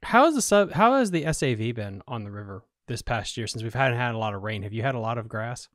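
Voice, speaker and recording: Laid-back podcast host, dry studio mic. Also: male voice, mid-range voice, lively intonation, fast, a bit bright, no echo. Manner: laid-back, blunt and chatty. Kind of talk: casual podcast interview. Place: small home studio. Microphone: studio mic on a boom arm.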